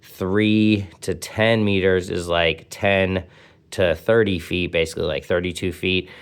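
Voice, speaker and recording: A man's voice: sits low, little pitch movement, measured pace, smooth. A man giving a casual hands-on gadget review as host, speaking enthusiastically in informal slang with punchy interjections.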